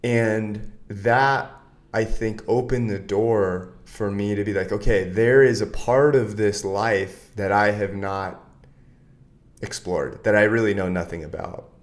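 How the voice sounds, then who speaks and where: A man's voice: lowish voice, takes it slowly. A man who coaches other men, telling his story as an interview guest in an earnest, candid way. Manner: earnest, candid, animated bursts.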